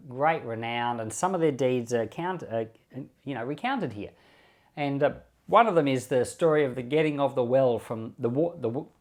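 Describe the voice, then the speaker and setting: Australian man giving informal Bible commentary. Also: mid-range voice. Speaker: pastor and Bible podcast host.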